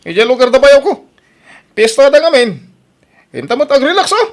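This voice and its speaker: A man voicing an excitable male drinking buddy, a taxi driver, emphatic and emotional, in an Ilocano audio drama. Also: moderate pace. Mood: eager, coaxing. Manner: high-pitched, emphatic.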